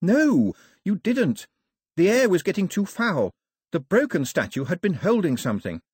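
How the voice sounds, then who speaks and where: A woman's voice: fairly low pitch, very animated, moderate pace, darkish tone, husky, no echo, pitched above the performer's own voice. A man voicing an earnest, proper young girl who speaks in a prim, insistent way. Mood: urgent, bossy.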